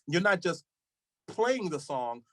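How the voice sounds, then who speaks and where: A man's voice: sits high, slow, no room sound. Chatty man, dry close sound. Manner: animated, laughs often, many "you know" fillers. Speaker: podcast guest, musician. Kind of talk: casual chat.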